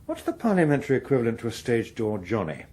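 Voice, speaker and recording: Smooth-talking british veteran politician, formal n dry, bit husky, very varied pitch, radio drama. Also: male voice, huge pitch swings, a little mellow. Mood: drily urbane. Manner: measured, formal, evasive, drily witty. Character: suave, unflappable veteran politician, a chief whip.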